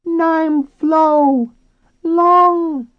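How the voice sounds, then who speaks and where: An eager, playful female dolphin voiced by a man for an audiobook. The voice is a very high, squeaky falsetto, speaking insistently in clipped pidgin phrases. The sound is close, dry and slightly dull. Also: fairly even intonation, very slow, dry recording, exaggerated voice. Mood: excited greeting. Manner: broken, clipped pidgin in short insistent bursts. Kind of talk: audiobook character voice.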